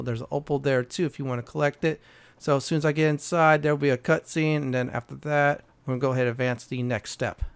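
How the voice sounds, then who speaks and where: A man hosting a gaming walkthrough with casual commentary, halting often with filler uhs. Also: mid-range voice, gravelly, clean tone, no room sound. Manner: halting, hesitant delivery with frequent uhs.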